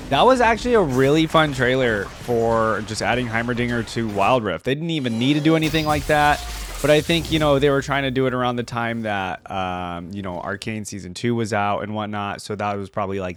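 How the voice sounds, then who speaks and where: A man's voice: mid-range voice, brisk. Man giving casual reactions, faint music. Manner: informal, thinking aloud. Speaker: reaction video host.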